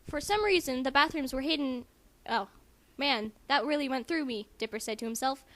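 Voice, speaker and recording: A woman's voice: high-pitched, moderate pace, fairly bright tone. A young woman doing an amateur dramatic reading, giggly and reluctant, breaking off for casual remarks. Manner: giggly, reluctant, interjecting asides. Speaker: amateur reader. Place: room in a house. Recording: noisy home recording.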